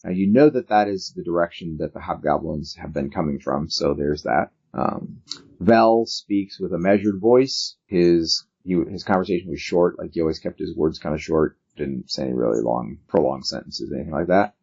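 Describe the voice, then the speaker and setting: A man working as game master, narrating a tabletop role-playing game in a halting way, thinking aloud as he goes. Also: deep voice, vocal fry, a little mellow, husky. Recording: dull, lo-fi sound.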